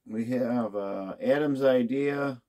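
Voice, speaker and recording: A man's voice: mid-range voice, slow pace, dark tone. A man casually talking through an unboxing of used golf clubs, musing aloud to himself as he inspects each one. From United States. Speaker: golf club unboxing host.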